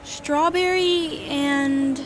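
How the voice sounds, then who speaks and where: A woman's voice: high-pitched, fairly even intonation, extremely slow, faint music behind. A woman playing an eager, creative young girl in an audio drama, full of ideas.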